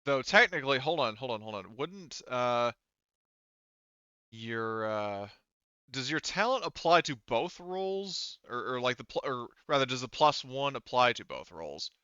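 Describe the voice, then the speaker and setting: A man's voice: mid-range voice, lively intonation, unhurried, bright, forceful tone, clear, resonant tone, no echo. A man acting as game master, casually refereeing a tabletop game and thinking aloud in a hesitant way. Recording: band-limited, dull dry audio.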